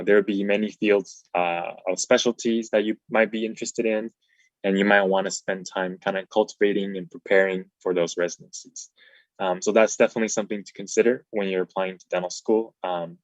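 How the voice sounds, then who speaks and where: A man's voice: on the low side, no room sound, rough recording. A man in his twenties from Taiwan, a dental resident giving an easygoing slide talk over a video call, with many ums and uhs. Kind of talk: informal slide presentation.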